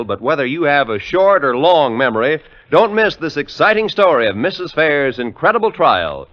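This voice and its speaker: An American man announcing scripted credits and promos in a polished 1950s network radio style with dramatic emphasis. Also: mid-range voice. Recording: muffled 1950s radio recording, faint music.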